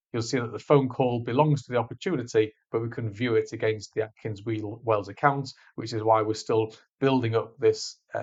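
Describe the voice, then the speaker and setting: Male tutorial presenter, medium pitch, conversational step-by-step instruction, somewhat lo-fi sound. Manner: informal, explains step by step. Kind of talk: screencast walkthrough, conversational instruction. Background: voice-over on a screen recording.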